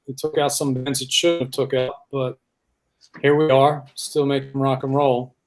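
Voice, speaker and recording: A man, a rock bassist, talking casually as a guest in an interview. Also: little pitch movement, moderate pace, low-quality recording.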